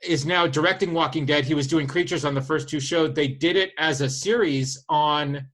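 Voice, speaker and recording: A man's voice: fairly high pitch, moderate pace, a bit bright. A man co-hosting a podcast in loose, casual conversation. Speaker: podcast co-host.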